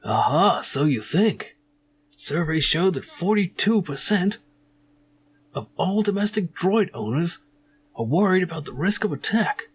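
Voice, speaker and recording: A man voicing a slick, pushy insurance salesman in an adventure game, husky and wheedling. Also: high voice, sing-song, measured pace, voice pushed up, heavily acted. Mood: pushy, persuasive. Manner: wheedling sales patter with questioning tags.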